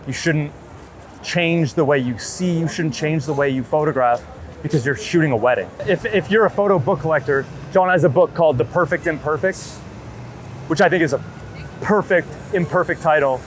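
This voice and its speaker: Higher-voiced man chatting on a noisy street. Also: normal speed. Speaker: street and wedding photographer being interviewed. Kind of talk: casual interview chat. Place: outdoors on a city street. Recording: street noise, passing traffic.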